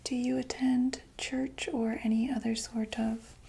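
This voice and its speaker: A woman playing a gentle vampire doctor in a whispered ASMR medical roleplay, reassuring and a little hesitant. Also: on the high side, flat delivery, a little slow, gravelly, slight background noise. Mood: calm, reassuring. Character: gentle female vampire doctor examining a patient.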